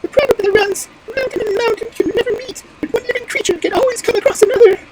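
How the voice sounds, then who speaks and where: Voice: very high-pitched, fast, heavy background noise, pitched above the performer's own voice. A reader voicing a storybook wood pigeon, pleading and grateful in a formal, imploring, wailing way.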